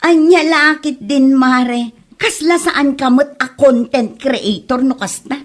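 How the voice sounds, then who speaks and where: A woman's voice: high-pitched, takes it slowly. A woman acting a clueless, defensive content creator in an amateur comedy drama. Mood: defensive, puzzled. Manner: defensive, offhand.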